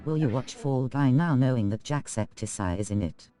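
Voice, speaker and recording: A male voice reading out viewer chat messages in a flat, mechanical way. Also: medium pitch, normal speed, faint music behind. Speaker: chat messages read aloud.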